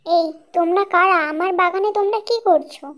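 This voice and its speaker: A small, earnest bird from a children's cartoon, acted out by a performer. The voice is extremely high and childlike, suited to a cautious little creature that is easily indignant. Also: steady tone, fairly quick, a bit bright. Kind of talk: children's cartoon story dialogue.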